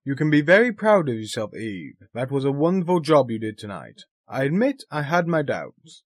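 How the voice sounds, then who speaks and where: Animated, frank theatre director. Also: male voice, mid-range voice, moderate pace. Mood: frank, guarded. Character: Bill, a frank, self-assured theatre director. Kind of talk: radio drama acting.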